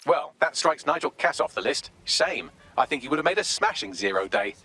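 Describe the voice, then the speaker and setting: A cheeky British artificial assistant in a video game. The voice is bright and slightly raspy, rattling along quickly. He is flippant and full of mischief. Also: on the high side, lively intonation, full character voice. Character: chirpy, mischievous male AI assistant.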